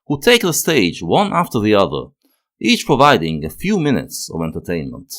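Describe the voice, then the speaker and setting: Low-voiced man narrating, strikingly varied intonation. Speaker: video essay narrator and critic. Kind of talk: scripted analytical narration.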